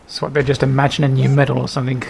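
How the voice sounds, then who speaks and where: A man's voice: mid-range voice, completely flat delivery, very fast, vocal fry, a little mellow, a little hiss or hum. A man giving casual, off-the-cuff gameplay commentary on a headset mic, muttering short, loose remarks to himself. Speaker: gaming video host.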